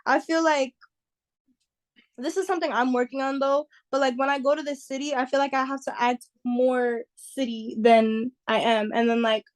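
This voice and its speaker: A woman's voice: high-pitched, steady tone, normal speed. A young woman from New York, a singer, talking casually and reflectively as an interview guest.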